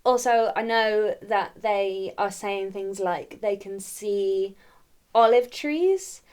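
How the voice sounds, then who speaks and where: A woman's voice: mid-range voice, takes it slowly, darkish tone. A woman co-hosting a casual chat about a folk song, cheerful and giggly in conversation. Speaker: co-host, musician.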